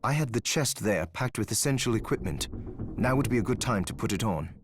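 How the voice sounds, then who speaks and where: An actor playing a grave, protective male alchemist in a fantasy video game, speaking in an earnest, measured, formal way. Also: lowish voice, lively intonation, natural voice. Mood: calm, explanatory. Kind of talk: dramatic video game voice acting. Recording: noisy game sound behind voice.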